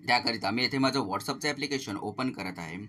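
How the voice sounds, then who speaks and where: A man's voice: mid-range voice, fairly quick, pushed and bright. A man narrates a step-by-step tech tutorial as a channel host, explaining things to viewers as friends. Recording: clearly noisy voice-over recording.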